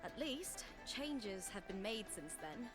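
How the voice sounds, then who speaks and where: A woman's voice: medium pitch, very animated, slow. An actress playing a proud, battle-hungry female warrior in a video game. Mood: solemn, recounting. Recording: loud music behind the voice. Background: loud game music.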